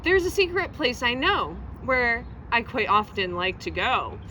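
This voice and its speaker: A woman reading a children's poem aloud in a theatrical, expressive storytelling manner, recorded outdoors. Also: fairly high pitch, unhurried. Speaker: library reader presenting a poem. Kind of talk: animated children's poetry reading. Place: outdoors outside a public library. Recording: outdoor recording with faint traffic noise.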